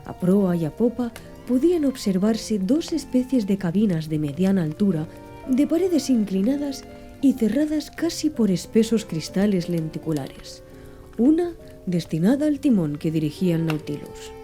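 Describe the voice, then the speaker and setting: A woman reading an audiobook in a soft, slow, hushed ASMR style, with faint background music. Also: mid-range voice, very animated. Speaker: audiobook narrator.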